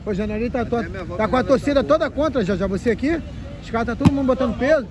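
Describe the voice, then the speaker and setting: A man casually commentating a footvolley match courtside, excitable, chanting names and teasing the players. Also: extremely high pitch, fast. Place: outdoor beach court with a crowd. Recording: loud crowd around.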